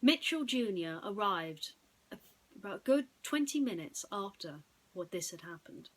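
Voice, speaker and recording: A woman narrates a bedtime story with a medium-pitched voice. Her telling is dramatic and builds suspense. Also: expressive, normal speed, rough recording.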